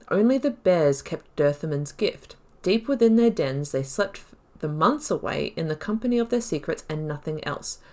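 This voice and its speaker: A woman hosting a gaming video, chatting casually through a playthrough and reading lore aloud. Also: lowish voice.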